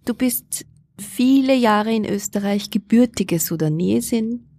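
A woman hosts a community radio show from a studio. Her voice is gravelly with a husky edge. She talks in a friendly, measured way and leaves small fillers between thoughts. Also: fairly high pitch, dark tone. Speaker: radio host and team leader.

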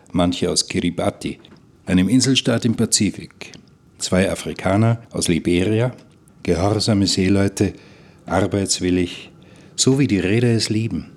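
A man's voice: deep voice, breathy edge. A man acting as a reflective, wistful traveller who narrates a literary radio play in the first person. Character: reflective wistful traveller working aboard a cargo ship. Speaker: narrator and protagonist.

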